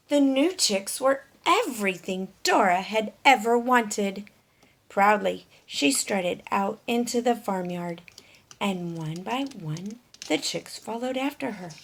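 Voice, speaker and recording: A woman reading a picture book aloud to children, often hushed, with expressive, deliberate narration and questions for young listeners. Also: medium pitch.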